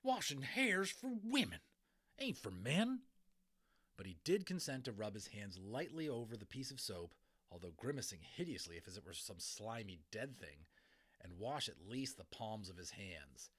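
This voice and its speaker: A man reading a children's novel aloud as a dramatic, expressive narrator. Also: lowish voice, normal speed, slightly rough. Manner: expressive, theatrical. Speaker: audiobook reader.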